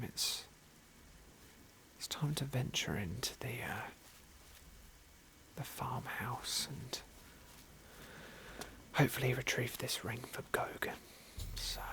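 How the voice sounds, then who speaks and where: A British man narrating an ASMR game playthrough in a gentle, polite, understated manner. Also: mid-range voice, slow pace, pauses often. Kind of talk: whispered ASMR roleplay and commentary.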